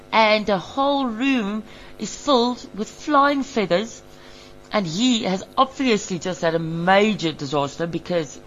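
A woman's voice: lowish voice, lo-fi sound, slight background noise. A woman casually describing cartoons aloud, amused and laughing between phrases.

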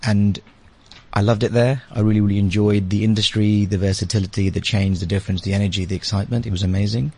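A man's voice: lowish voice, steady tone, quick pace, warm tone, husky. A man with a British accent, explaining things conversationally as a studio interview guest. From UK, born in India, grew up in London. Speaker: interview guest, advertising agency managing director. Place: radio studio.

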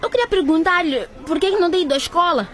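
A woman's voice: very high-pitched, fast, fairly bright tone. An actress playing a worried, loyal teenage schoolgirl in a radio drama, speaking in an earnest way. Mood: urgent, anxious. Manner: earnest, urgent.

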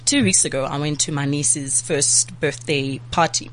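A woman with a low voice talks casually, sounding nasal and stuffy from a flu. She is chatty and animated and often restarts her sentences. Faint noise sits behind her. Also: normal speed. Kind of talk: casual chat.

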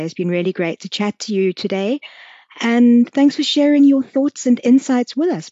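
A woman's voice: medium pitch, dark tone, muffled recording. A woman with a South African accent, presenting as a podcast host, moving between conversational interviewing and prepared segments.

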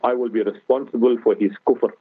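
South african guy, husky voice, earnest preachy radio talk, dry tinny phone-like sound. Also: mid-range voice, steady tone, fairly quick, dry recording. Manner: earnest preaching, repeatedly addressing host. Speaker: guest scholar. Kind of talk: religious talk radio discussion.

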